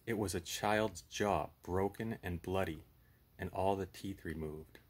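A man's voice: low voice, measured pace, breathy edge. A man in his thirties hosting a puppet show, chatting casually and reading a horror story aloud.

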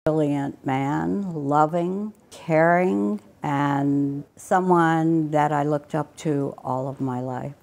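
Elderly woman, very low pitch, unhurried, reminiscing interviewee. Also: gravelly, darkish tone. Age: 80s. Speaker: Holocaust survivor interviewee. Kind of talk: recounting family memories in an interview. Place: indoor studio.

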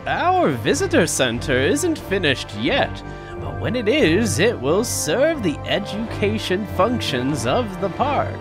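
A proud old showman of a park owner, his high voice swooping widely as he slowly savours every grand claim, as a man puts him on. He takes his time and sells each line, with music playing softly behind him. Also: wildly swooping pitch, full character voice. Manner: grand, enthusiastic, lingering delivery. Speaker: fan voice actor. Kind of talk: fan audio drama acting.

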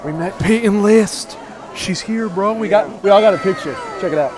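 A young man in his twenties giving excited running commentary at night, filming himself on an action camera at arm's length, with loud outbursts. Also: high voice, normal speed. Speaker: vlogger. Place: outdoor stadium golf bays at night, rain. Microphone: action camera held at arm's length. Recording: noisy outdoor crowd and music. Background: crowd chatter and music.

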